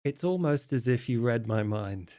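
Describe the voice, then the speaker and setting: A man playing a slangy vampire hustler in an improvised tabletop game, with wry, halting salesman patter. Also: mid-range voice, animated, mellow, close to an everyday voice. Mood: sly, pitching. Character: sly, slangy male vampire hustler named Sean.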